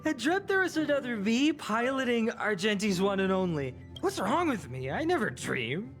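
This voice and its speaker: Huffy, dramatic young game heroine, high and swooping, stammers when flustered, faint music below. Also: female voice, sing-song, moderate pace. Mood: uneasy, puzzled. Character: prickly, flustered young woman who secretly cares. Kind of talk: animated video game voice acting.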